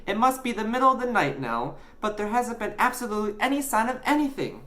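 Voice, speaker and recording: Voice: medium pitch, sing-song, quick pace, fairly bright tone, pitched above the performer's own voice. A storyteller voicing a plucky, earnest and slightly exasperated young boy in an audiobook. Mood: reassuring.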